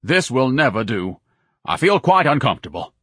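A man's voice: mid-range voice, big pitch swings, moderate pace, a bit bright, husky, no echo, pitched above the performer's own voice. A man voicing an obese, pompous, indignant man who lectures and complains melodramatically in an audiobook. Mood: pompous complaint.

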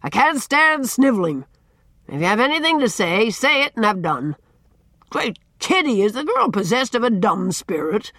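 A woman reading an audiobook and voicing a big, fierce, bullying middle-aged man who booms and barks out questions. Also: big pitch swings, moderate pace, no room sound, clean studio sound, exaggerated voice. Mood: exasperated, shouting. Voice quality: loud, booming. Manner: blustering, demanding, barking questions. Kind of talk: audiobook character voice.

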